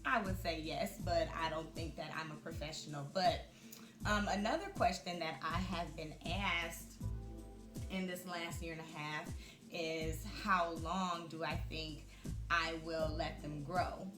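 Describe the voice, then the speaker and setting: A woman in her mid-thirties speaks on a vlog in a reflective, chatty way, with fillers scattered through her thoughts. Her voice sits a little low for a woman. The room rings with echo, and music plays behind her. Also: fairly even intonation, measured pace. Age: 30s. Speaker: vlogger. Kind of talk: casual talk to camera.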